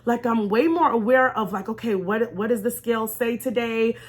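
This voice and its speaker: High-pitched woman giving an emphatic motivational talk. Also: normal speed. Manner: emphatic, repeats phrases, addresses viewers directly as y'all. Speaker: vlogger and life coach. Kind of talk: motivational storytime vlog with faith-based encouragement.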